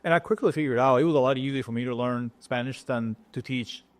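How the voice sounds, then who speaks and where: A middle-aged man from Japan speaks English with a Japanese accent, halting and full of fillers as he explains things in a relaxed interview. His voice sits at an ordinary pitch. The sound is dry and close, with no room echo. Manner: halting, many fillers and restarts. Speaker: chef and guest. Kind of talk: casual interview talk.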